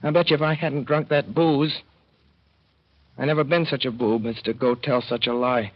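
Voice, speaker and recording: A man's voice: medium pitch, fairly even intonation, normal speed, close to an everyday voice. An actor playing a slangy, heartbroken young man scolding himself, in a 1930s radio drama. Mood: angry at himself. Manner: slangy, rueful, talking to himself. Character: lovesick working-class young man, self-reproaching. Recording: dull old radio broadcast.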